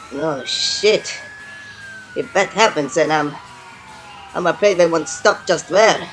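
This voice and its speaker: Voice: medium pitch, lightly acted, rough recording. An actor playing an anxious, foul-mouthed police officer who stammers and repeats words in an amateur audio drama. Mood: worried.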